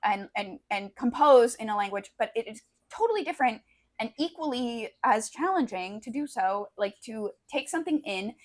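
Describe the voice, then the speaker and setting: Slightly high-voiced, agreeable young woman. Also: expressive, moderate pace. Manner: hedging, agreeable, slangy. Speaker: podcast co-host. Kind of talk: casual book-review chat.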